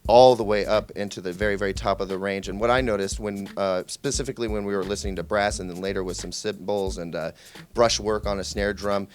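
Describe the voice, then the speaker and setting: A man working as a tech reviewer, doing a casual interview in a halting, conversational way with frequent ums. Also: lowish voice, steady tone, slight background noise.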